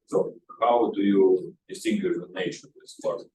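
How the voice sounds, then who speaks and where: A man's voice: sits low, normal speed, mellow, echoey room. A man in a seminar audience putting technical questions to a speaker. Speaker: audience member asking questions. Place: seminar room. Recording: distant from mic, noisy seminar room.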